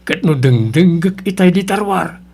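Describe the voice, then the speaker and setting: A man's voice: high-pitched, expressive, moderate pace, vocal fry, a little mellow, slightly rough, full character voice. Actor as chiding Ilocano family man. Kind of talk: Ilocano radio drama acting.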